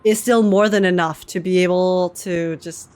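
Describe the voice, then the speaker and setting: A woman's voice: lowish voice, fairly even intonation, normal speed, darkish tone, slight background noise. A woman streamer giving casual live reaction commentary in an informal, chatty, slangy way.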